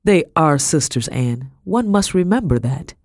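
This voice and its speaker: A woman's voice: low voice, expressive, darkish tone, dry recording, clean studio sound. Woman acting a grave, firm master of the house. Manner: measured, authoritative.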